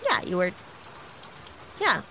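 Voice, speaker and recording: A woman's voice: slow, bright, forceful tone, a little hiss or hum. A woman playing a gruesomely injured, self-sacrificing crew member in a sci-fi comedy drama, bravely understating her pain. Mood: pained, stoic. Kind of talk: improvised dark comedy sci-fi audio drama.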